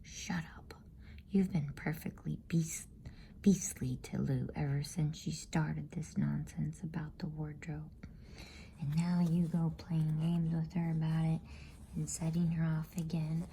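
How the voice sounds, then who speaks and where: A woman's voice: sits low, level tone, unhurried, gravelly, dark tone, slight background noise. A woman voicing a stern, protective older brother from a storybook, scolding in a firm, reproachful whisper. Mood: angry.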